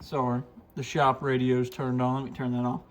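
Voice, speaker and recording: A man's voice: medium pitch, level tone, moderate pace, heavy background noise. A man giving a casual, plainspoken hands-on product walkthrough, explaining things step by step in a folksy way. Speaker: radio shop owner presenting a product demo. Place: radio shop, indoors.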